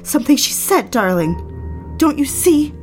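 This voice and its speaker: An actress playing a fragile, jealous, lonely young wife in a period audio drama, pleading, hesitant and anxious. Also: female voice, high voice, big pitch swings, a little slow, darkish tone, soft background music. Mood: anxious, defensive.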